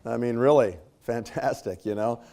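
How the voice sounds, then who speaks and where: A man's voice: medium pitch, normal speed, vocal fry, mellow. Man with a scratchy voice coaching a crowd. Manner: conversational, casual fillers and tag questions. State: slightly scratchy voice, clearing throat. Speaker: speaker at a leadership training. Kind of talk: motivational talk to a live audience.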